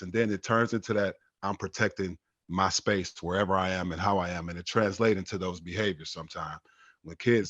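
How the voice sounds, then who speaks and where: Firm low-voiced man explaining, muffled sound. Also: a bit bright, no room sound. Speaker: educator and podcast co-host. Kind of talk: casual chat with teaching anecdotes.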